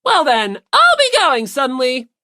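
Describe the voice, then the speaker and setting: A wicked little bear headmaster, pitched extremely high with wild swoops. The voice is rough, gleeful and taunting, an exaggerated audiobook villain who delights in teasing. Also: female voice, wildly swooping pitch, a little slow, bright, forceful tone, no room sound, clean studio sound, heavily acted. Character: cute evil two-toned robotic bear headmaster, gleefully teasing.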